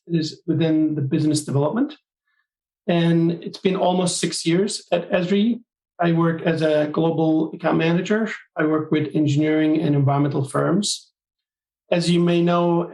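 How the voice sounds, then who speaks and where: A man from Turkey, a guest on an interview podcast, explaining his work in measured, listing phrases. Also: on the high side, darkish tone, echoey room, rough recording. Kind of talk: interview answers.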